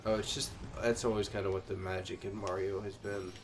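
A man's voice: on the low side, level tone, a little fast, background noise. A young man with a stuffy, congested voice, chatting dryly with a friend while they play a video game. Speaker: co-host playing a video game. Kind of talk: casual gaming chat.

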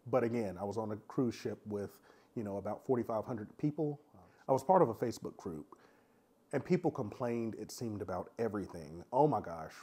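Raspy congested guy, low mellow voice, chatty and frank, lots of ums. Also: expressive, fairly quick. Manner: frank, chatty, many "um" fillers. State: congested from a cold. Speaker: vlogger reviewing a cruise. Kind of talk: casual review monologue.

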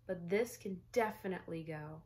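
A woman's voice: on the low side, moderate pace, darkish tone, lightly acted. A young woman voicing an exasperated mother who scolds her son about his toys, in a firm reading voice. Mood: scolding.

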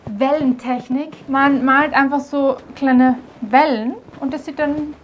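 A woman's voice: sits high, steady tone, slow pace, lo-fi sound, slight background noise. A woman, chatting casually and playfully while painting, with a dramatic, self-deprecating streak. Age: 20s. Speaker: vlogger. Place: small indoor studio room.

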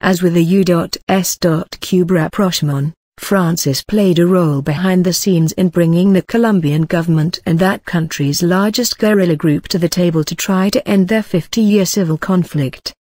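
A news reader voice of middle pitch, delivering a written article with steady, uniform pacing. The sound is dry and close, with no room around it. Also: normal speed, hardly any pauses, no echo. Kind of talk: reading a news article aloud.

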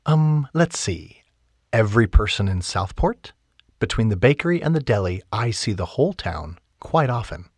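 A man voicing a stressed, stubborn young woman, raising his pitch and speaking emphatically. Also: big pitch swings, normal speed, darkish tone, husky, dry recording, clean studio sound, full character voice. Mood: upset.

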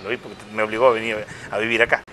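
A man in his fifties, a parish priest, talking in a relaxed interview with hesitations and restarts. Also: lowish voice, a little hiss or hum. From Chile. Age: 50s. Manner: unhurried, reflective, with many hesitations and restarts. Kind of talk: casual interview conversation. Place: outdoor restaurant patio. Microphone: lapel mic.

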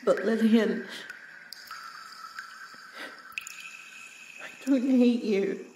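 Woman acting a slow, tearful, forgiving woman. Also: a little high, fairly even intonation, mellow. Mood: tender, pleading. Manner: halting, earnest, tender. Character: sorrowful, forgiving woman reconciling with her wounded past self. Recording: roomy, distant mic, faint noise.

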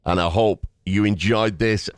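Male gaming host, low pitch, resonant, casual commentary, appreciative, dry close mic, soft game music. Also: fairly even intonation, a little slow, clear, resonant tone, no echo.

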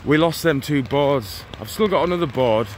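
A man's voice: mid-range voice, animated, normal speed. A man in his forties vlogging on a phone held at arm's length as he walks outdoors in the rain, preaching in an earnest, urgent way and often repeating himself. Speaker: street evangelist vlogger. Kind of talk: walk-and-talk preaching vlog. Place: outdoors on a town street in the rain. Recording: phone on a street with traffic noise. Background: passing traffic.

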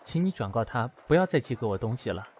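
A man's voice: medium pitch, big pitch swings, fast, a little mellow, a little hiss or hum, close to an everyday voice. Man acting a curt, proud male teacher. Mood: annoyed, insistent. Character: proud, wounded male math teacher rejecting his former lover. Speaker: voice actor in an amateur audio drama. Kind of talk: acted audio drama dialogue. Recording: music behind the voice.

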